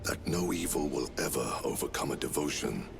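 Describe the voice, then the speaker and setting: A very low-voiced commander of a warrior order, proud and fatherly. He speaks with ceremonial, solemn formality over cinematic music, as in a video game cutscene. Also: fairly quick, vocal fry, heavily acted. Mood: solemn, regretful. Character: stately male Chapter Master, commanding, proud, fatherly warlord.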